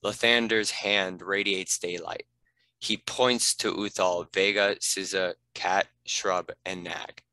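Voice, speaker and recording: Guy reading out script action lines, really deep voice, dry close sound. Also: steady tone, slow pace, pushed and bright, no echo, poor audio. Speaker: narrator reading stage directions. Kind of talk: scripted narration for fantasy script read.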